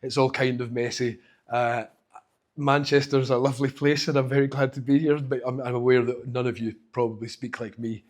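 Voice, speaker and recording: A man with a Glaswegian accent speaks to a meetup crowd through a handheld mic. His voice sits at a middling pitch, and he talks in a loose, self-deprecating way that keeps restarting his sentences. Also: flat delivery, fairly quick. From Scotland. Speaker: conference speaker. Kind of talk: informal conference talk. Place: meetup venue.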